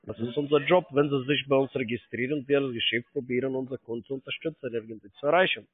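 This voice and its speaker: Fast insistent salesman on a tinny phone line. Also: mid-range voice, husky, dry recording. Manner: insistent, impatient. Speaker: call centre salesman. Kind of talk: cold sales phone call.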